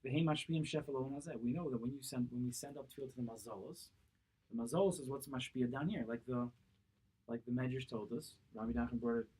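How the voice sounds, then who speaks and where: A man with a husky, unpolished voice at a middling pitch teaches a religious text as a rabbi would. He explains it phrase by phrase, posing short questions to his listeners and answering them himself. Key words come back again for emphasis. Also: steady tone, fast talker, mellow, poor audio. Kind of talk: Torah class, explaining a text. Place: small synagogue study room, seated at a table. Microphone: camera a few feet away across the table.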